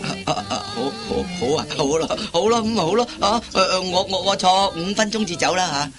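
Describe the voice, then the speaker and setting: A man's voice: high voice, lively intonation, a little fast. An actor playing a timid, flustered customer in a radio comedy, stammering and repeating his words. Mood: nervous, reluctant. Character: timid, flustered, henpecked middle-aged man, hapless dance hall customer. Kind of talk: Cantonese radio comedy acting.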